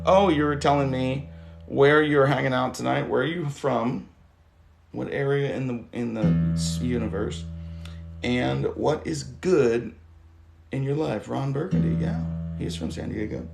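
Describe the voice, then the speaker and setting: A hoarse man in his thirties hosting a casual livestream, talking loosely and swearing now and then. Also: medium pitch. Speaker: livestream host. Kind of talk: rambling casual livestream chat. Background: guitar music.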